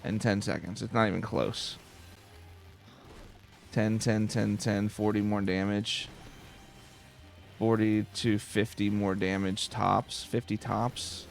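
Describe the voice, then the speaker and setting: A man hosting a video game let's play, giving calm, casual commentary and talking to himself as he plays. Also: lowish voice, slow, creaky, pauses often, faint music behind. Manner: casual, talks to himself while playing. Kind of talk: calm, slow-paced gameplay commentary.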